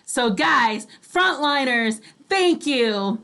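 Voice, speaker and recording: A woman's voice: sits high, lively intonation, drawn-out words, a bit bright. A woman with a Filipino accent co-hosting an online radio show in casual chat, chipping in with short encouraging interjections.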